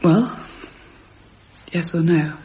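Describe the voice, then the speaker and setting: An actress playing a grieving, anxious British landlady in a radio drama, speaking haltingly as she searches for words. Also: on the low side, warm tone, breathy edge, heavily acted. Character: grieving, anxious landlady, hesitant but insistent. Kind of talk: BBC radio drama acting. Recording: dull, hissy radio broadcast recording.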